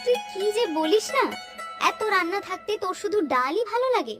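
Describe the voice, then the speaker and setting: A woman acting a high, swooping, fussing village aunt. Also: very animated, fairly quick, over music. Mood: affectionate, teasing. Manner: coaxing, teasing. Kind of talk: Bengali cartoon dialogue.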